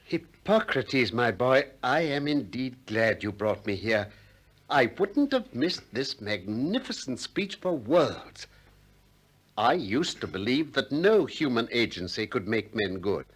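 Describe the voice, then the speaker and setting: An actor playing a shrewd, probing Athenian philosopher in a 1960s radio drama, deliberate and courteous with polite irony. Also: male voice, mid-range voice, very animated, a bit bright, breathy edge, heavily acted. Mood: courteous, ironic. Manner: deliberate, politely ironic, questioning.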